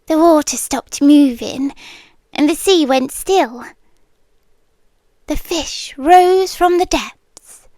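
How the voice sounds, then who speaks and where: A woman's voice: high-pitched, dry recording, clean studio sound. A British woman playing a centuries-old possessive siren girlfriend in an ASMR roleplay, tender yet menacing, often sinking into whispers. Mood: eerie tenderness. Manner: slow, hushed, dropping often into whispers. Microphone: close, dry microphone.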